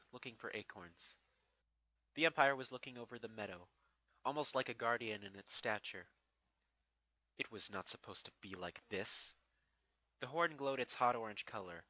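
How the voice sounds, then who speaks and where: Guy narrating a fan fiction story, bright voice, really dry recording. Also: medium pitch, no room sound, rough recording.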